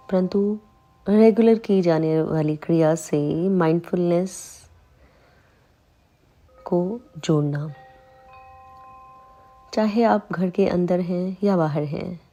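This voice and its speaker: Slow, mellow woman guiding a meditation. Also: on the low side, dull audio, faint music behind. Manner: calm, soothing, unhurried instruction with long pauses. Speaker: meditation guide. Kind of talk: slow guided meditation in Hindi.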